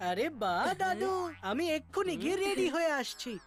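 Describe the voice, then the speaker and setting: A woman voicing an eager little child in a cartoon, excitable and given to wheedling. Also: very high voice, normal speed. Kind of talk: cartoon voice acting. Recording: prominent cartoon music behind.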